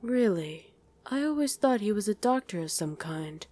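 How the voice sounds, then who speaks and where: A woman's voice: mid-range voice, expressive, moderate pace, mellow, natural voice. A woman playing a wry, easily irritated demon in a conversational ASMR roleplay, chatting and bickering with a partner. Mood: casual, curious. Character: female demon on mortal-hunting duty, wry, easily irritated. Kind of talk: ASMR roleplay acting to an unheard listener.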